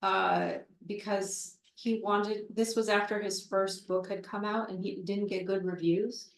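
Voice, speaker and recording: A woman translator answering questions at a live book talk, thoughtful and halting, with many fillers and restarts. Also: mid-range voice, steady tone. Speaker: literary translator, interview guest. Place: event room at a literary center. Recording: roomy echo, voice from far off the mic.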